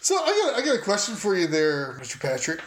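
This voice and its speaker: Higher-voiced man gaming in an echoey room. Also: wildly swooping pitch, fast. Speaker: tabletop game player. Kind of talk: casual game play, slipping into character speech. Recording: roomy, echoing sound with background noise.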